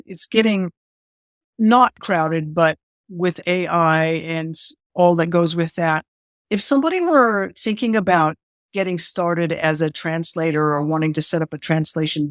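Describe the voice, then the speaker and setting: A woman's voice: on the low side, dry recording, studio quality. Curious woman host asking questions. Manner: curious, thinks aloud while framing questions. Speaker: podcast host. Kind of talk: interview questions.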